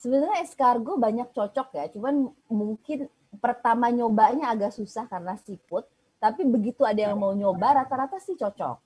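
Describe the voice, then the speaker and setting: A woman speaks as a guest over a video call. Her voice has a soft, breathy edge, and she explains at an easy pace with little pauses. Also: on the high side, rough recording. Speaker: guest on a travel talk show, living in Paris. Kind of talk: casual chat.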